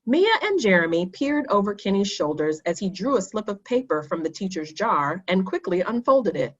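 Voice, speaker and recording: A woman reading a children's story aloud, addressing young listeners in clear, instructive phrasing. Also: medium pitch, very animated, moderate pace, muffled recording. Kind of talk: expressive read-aloud for children.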